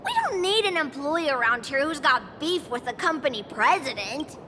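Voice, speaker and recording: A woman's voice: high-pitched, lively intonation, normal speed, slight background noise. A woman voicing a small, eager, cutesy furry creature in a video game, chirpy and exaggerated. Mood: eager, deferential. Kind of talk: video game character acting. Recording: loud music behind. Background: loud game music.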